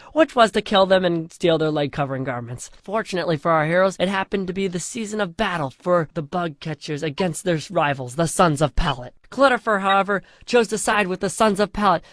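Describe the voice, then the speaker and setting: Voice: mid-range voice, dry recording, studio quality. A storyteller reading a scripted comic fantasy parody in a mock-epic way, drawing out the chapter headings.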